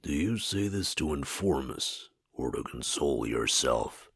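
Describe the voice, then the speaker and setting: A man voicing a calm ancient spirit in a borrowed body for a video game, speaking in a formal, measured, philosophical way. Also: soft background music, heavily acted. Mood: solemn, measured. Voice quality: very deep, husky. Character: calm ancient male spirit inhabiting a dead man's body.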